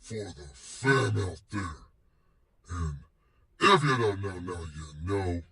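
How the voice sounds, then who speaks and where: Gravelly, slow-talking man hosting his show. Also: low voice, expressive, pushed and bright, distant mic, slight background noise. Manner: slangy, boastful, drawn-out emphatic phrasing. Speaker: host of a personal web series. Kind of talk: casual solo rant to fans.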